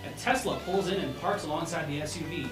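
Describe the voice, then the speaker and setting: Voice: medium pitch, fast. A person reading screenplay stage directions aloud at a live table read, with casual asides. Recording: roomy, distant mic, music behind.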